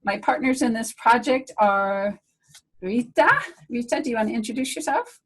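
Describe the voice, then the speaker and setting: A woman's voice: on the high side, moderate pace, poor audio. A woman hosting a casual video call Q&A as a medical director, chatty and friendly with rambling restarts.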